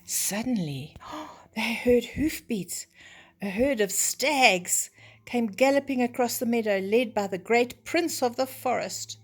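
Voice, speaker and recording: An older woman storyteller reading a children's story expressively, with chatty asides that explain things simply. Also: mid-range voice.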